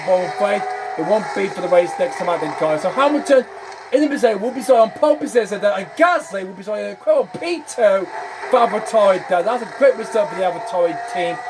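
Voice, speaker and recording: High-voiced man commentating a racing game. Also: noisy background. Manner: repetitive, says "then" and "I'm afraid" constantly. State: losing his voice. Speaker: gaming channel host.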